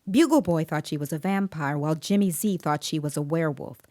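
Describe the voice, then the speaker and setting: An actress plays an observant woman who questions a child witness and narrates the story. Her voice is low and dark in tone, recorded dry and close, with restrained, precise delivery in an audio drama style. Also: a little fast, no echo, close to an everyday voice. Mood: composed, observant. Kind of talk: scripted first-person dramatic narration.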